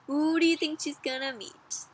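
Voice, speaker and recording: A young woman reading a picture book aloud to children in a lively way, gasping and asking her listeners questions. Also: high-pitched. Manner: expressive, asks children questions, gasps and dramatic pauses. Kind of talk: animated children's read-aloud.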